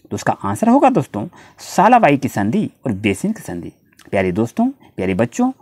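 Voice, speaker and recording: A tutor with an Indian accent and a husky, very swooping voice, recorded close and dry. The delivery is explanatory, speaking straight to students while reading out questions and answers, and it gets halting on English passages. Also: mid-range voice, darkish tone, no room sound. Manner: addresses students directly, repetitive explanatory phrasing.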